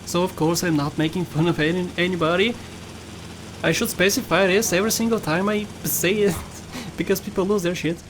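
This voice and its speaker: A man's voice: high-pitched, fairly even intonation, a little fast, noisy background. A man with a Bulgarian accent giving casual, playful gameplay commentary, chatting to viewers and often laughing at himself. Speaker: gaming YouTuber.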